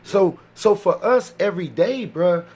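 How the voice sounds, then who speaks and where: Slightly high-voiced man chatting casually. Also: animated, a little slow, darkish tone, slightly rough. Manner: slangy, anecdotal, swearing. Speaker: podcast panelist. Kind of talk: casual chat.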